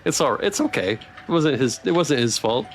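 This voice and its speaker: A man's voice: medium pitch, animated, moderate pace. Man reading a game aloud, music behind. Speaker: streamer playing a visual novel. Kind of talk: reading game text aloud with playful live commentary.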